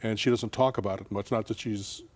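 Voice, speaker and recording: A man hosts a relaxed television chat. He talks in a loose, unhurried way, stumbling and restarting his sentences often and filling pauses with "uh" and "um.". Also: fairly low pitch. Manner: relaxed, halting, many fillers and restarts. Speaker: TV show host. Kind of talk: casual interview chat.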